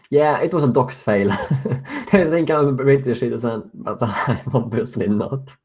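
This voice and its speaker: A man's voice: medium pitch, lively intonation, slow pace, darkish tone, husky. A young Swedish man with a Swedish accent hosting a casual live-stream Q&A, answering viewer questions and chuckling often. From Sweden. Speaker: host.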